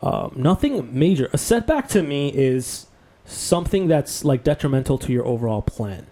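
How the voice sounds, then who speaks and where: A man from Bangladesh, co-host of a podcast, telling his life story in a relaxed, rambling way. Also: mid-range voice, moderate pace. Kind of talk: casual podcast conversation.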